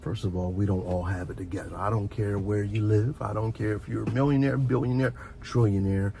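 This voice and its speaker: Middle-aged man, gravelly low-leaning voice, earnest halting delivery, heartfelt informal advice, steady rumbling noise behind. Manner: halting, repeats words, earnest and reflective. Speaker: host of a relaxation and advice channel.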